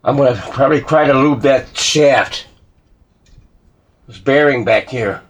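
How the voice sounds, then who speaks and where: A man's voice: mid-range voice, a little slow. A man working as a hobbyist repairer, giving casual, rambling commentary and thinking aloud as he works. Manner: muttering to himself, thinking aloud. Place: indoor workshop. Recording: clear background noise, clicks of handling.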